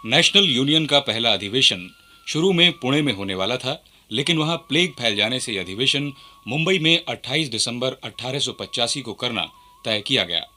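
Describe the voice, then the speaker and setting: A man narrates a scripted radio drama. His voice is sharp and forceful, sitting at a medium pitch. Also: expressive, moderate pace, a little hiss or hum.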